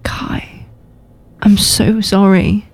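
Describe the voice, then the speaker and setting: A woman's voice: mid-range voice, little pitch movement, very slow, husky, dry recording, whispered. A woman radio host, chatting casually and teasing her guests with frequent giggles. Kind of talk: casual chat.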